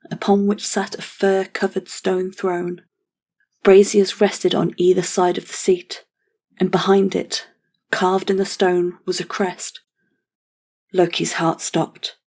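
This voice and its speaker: A woman reading scripted fantasy narration for an audio drama in a measured, solemn way. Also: mid-range voice, mellow.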